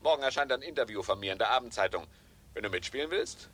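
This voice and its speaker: An actor playing a slick, scheming promoter in a 1970s German radio play, coaxing and pitching with pushy sales patter. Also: male voice, quick pace, very noisy, lightly acted. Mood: excited pitching. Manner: persuasive sales patter, swinging from coaxing to excited.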